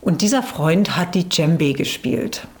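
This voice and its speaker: Casting: middle-aged or older woman, interview guest, slightly low voice, casual reflective delivery with searching hesitations. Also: animated, unhurried, a little mellow. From born in Australia. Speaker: drumming teacher, guest. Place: inside a half-timbered house, drum studio.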